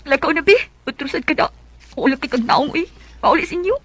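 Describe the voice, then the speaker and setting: Shrill, petulant Bisaya girlfriend. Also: quick pace, fairly bright tone. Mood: sulky. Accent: Cebuano Bisaya, Philippines. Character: petulant, sulky young girlfriend who snaps back. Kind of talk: Bisaya radio drama acting.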